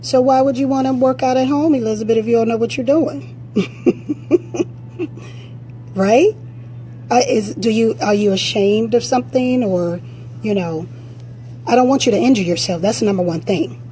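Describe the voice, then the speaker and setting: A woman fitness coach with a raspy voice, giving blunt, direct motivational advice during a live-stream Q&A on a phone. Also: on the high side, normal speed, pauses often, warm tone, slight background noise, poor audio.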